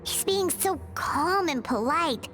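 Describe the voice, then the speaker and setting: A woman's voice: extremely high pitch, lively intonation, slow, loud music behind the voice, heavily acted. A woman voicing a small, indignant, blunt companion creature in a video game. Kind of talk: animated video game voice acting.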